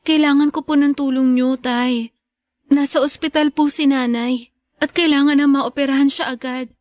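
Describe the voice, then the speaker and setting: A woman's voice: sits high, fairly even intonation, moderate pace, darkish tone, no room sound, lightly acted. A woman with a Filipino accent playing an earnest, hurt young daughter pleading politely in a radio drama.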